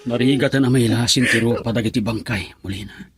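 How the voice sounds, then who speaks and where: A man's voice: mid-range voice, fairly quick, natural voice. A man playing a grave, concerned character who consoles someone in a radio drama. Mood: concerned, consoling. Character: grave, concerned man breaking news of a death. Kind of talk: Ilocano radio drama acting.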